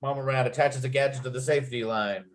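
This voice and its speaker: A man's voice: medium pitch, steady tone, fast talker. A man reading out screenplay scene headings and action as the narrator of a script table read. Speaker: narrator and writer.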